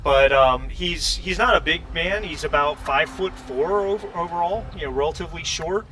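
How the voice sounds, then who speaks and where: A man narrating a tabletop game as game master, improvising with halting pauses. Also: fairly high pitch, fairly bright tone. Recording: distant mic with background noise.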